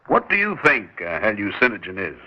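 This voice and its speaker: A smooth, persuasive research doctor who coaxes people along with little hesitations. His voice is lively and expressive. The old radio recording sounds dull and lo-fi. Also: lowish voice, a little fast, a little hiss or hum. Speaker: scientist. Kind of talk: 1960s radio drama acting.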